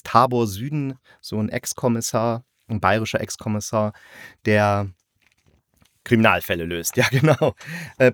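A man's voice: medium pitch, no echo. A man hosting a review podcast, chatting casually with a guest and explaining things as he goes. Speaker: host of an audio drama review podcast.

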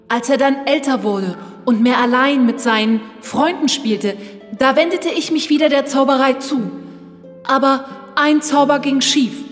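A woman playing a remorseful sorceress and loving mother, speaking earnestly in a children's fairy-tale audio drama. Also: high-pitched. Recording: long reverb, music underneath.

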